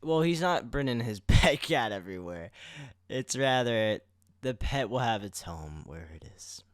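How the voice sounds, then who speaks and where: A man's voice: medium pitch, animated, unhurried, smooth, no echo, clean studio sound. A man co-hosting a podcast in casual conversation, explaining things in an informal, rambling way. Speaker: podcast co-host.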